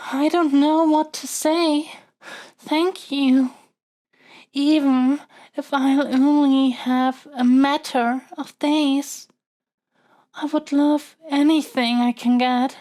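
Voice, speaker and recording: A high, sweet-hearted young princess, acted by a woman in a fantasy audio romance. She speaks very slowly and haltingly, stammering with earnest, flustered adoration. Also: warm tone. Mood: grateful, flustered. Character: lovestruck young princess smitten with her dragon kidnapper.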